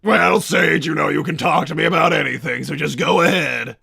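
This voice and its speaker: Casting: bombastic cartoon mad-scientist villain, doting but hot-tempered, high-pitched male voice with heavy rasp. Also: normal speed, pushed and bright, no room sound, clean studio sound, heavily acted. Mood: patient, fatherly. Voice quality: gravelly, raspy. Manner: blustering, bombastic. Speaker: livestream host. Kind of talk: in-character livestream chat.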